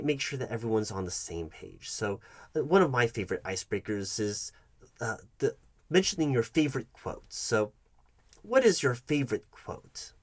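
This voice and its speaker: A man's voice: medium pitch, dry recording, natural voice. Man playing a pompous, swooping-voiced job interviewer. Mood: officious, explaining. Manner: pompous, fussy, stammering and self-correcting. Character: pompous, bumbling male job interviewer, condescending and muddled. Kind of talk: comedic ASMR roleplay addressed to the listener.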